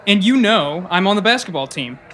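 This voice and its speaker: A man's voice: sits high, expressive, a little fast, sharp tone. An actor playing an eager, defensive teenage boy athlete in an audio drama. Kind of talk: scripted audio drama acting.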